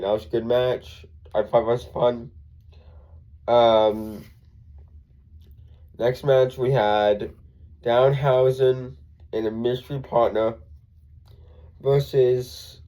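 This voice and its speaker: A man's voice: mid-range voice, mellow, low-quality recording. A young man with a congested voice, rambling through a casual recap full of ums and self-corrections. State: congested from a cold. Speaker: wrestling show reviewer.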